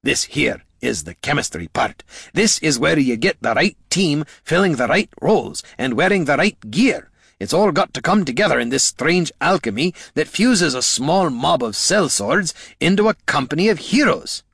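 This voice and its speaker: A man voicing a gruff, blunt veteran adventurer who lectures a young recruit in a storybook reading. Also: a little high, big pitch swings, normal speed, fairly bright tone, no room sound, clean studio sound, pitched above the performer's own voice, full character voice. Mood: lecturing.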